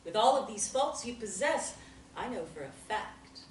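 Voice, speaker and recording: A woman reading the part of a calm, knowing group therapist in a table read, recorded roomy and from a distance. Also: mid-range voice, moderate pace, slight background noise, lightly acted. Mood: cool.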